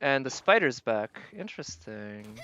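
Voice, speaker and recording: A man's voice: mid-range voice, big pitch swings, a little slow, pushed and bright, lo-fi sound. A man live streaming a video game, chatting casually with viewers and musing aloud as he plays. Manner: thinks aloud, tentative and musing.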